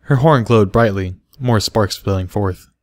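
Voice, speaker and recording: A man's voice: fairly low pitch, unhurried, a little mellow, no echo. A man reading scripted story narration as an audiobook reader.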